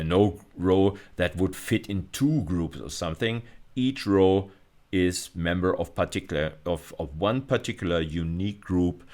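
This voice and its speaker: A man's voice: low voice, a little mellow. A man teaches in the manner of a university lecturer. He explains technical material step by step, repeating key phrases to stress them. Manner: didactic, repeats phrases for emphasis.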